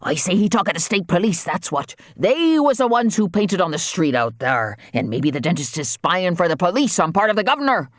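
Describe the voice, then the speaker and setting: A narrator plays a wild, angry island waterman from an audiobook novel. His voice is pushed high and swings around, with agitated, defiant, folksy dialect in a dry recording. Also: big pitch swings, brisk, few pauses, fairly bright tone, no echo. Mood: suspicious.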